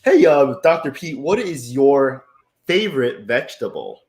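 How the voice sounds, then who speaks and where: Teasing American host, lively pitch. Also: male voice, unhurried. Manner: teasing, mock-stern questioning. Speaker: game host. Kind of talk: playful party game hosting. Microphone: video call.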